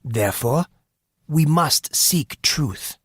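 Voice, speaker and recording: A man's voice: on the high side, animated, slow pace, breathy edge, no room sound, clean studio sound, pitched above the performer's own voice, full character voice. A man voicing a courteous, formal manservant who speaks in a polished, philosophical, lecturing way for an audiobook drama. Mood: earnest, instructive.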